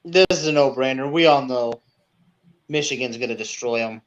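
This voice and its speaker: A man's voice: medium pitch, normal speed. A man co-hosting a sports podcast, casually giving his predictions. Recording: dull, lo-fi audio.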